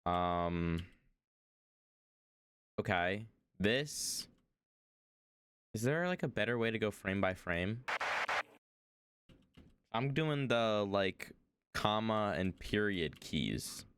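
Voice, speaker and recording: A man's voice: lowish voice, lively intonation, drawn-out words, long pauses, noisy background. A young man streaming as he reacts to a video, talking casually, rambling and hesitant, with lots of fillers.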